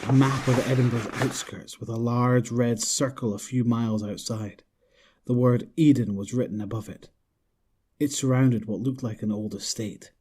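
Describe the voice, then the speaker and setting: A man narrates a scripted audio drama in a mellow, dark tone. His voice is husky and sits at a middling pitch. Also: steady tone, normal speed.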